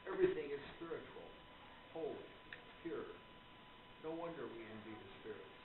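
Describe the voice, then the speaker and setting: An actor playing a courteous, earnest gentleman author devoted to spiritualism, speaking formally in a radio play. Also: mid-range voice, animated, normal speed, close to an everyday voice. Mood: preaching, rapt. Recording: muffled phone-like sound, loud noise, echoey room.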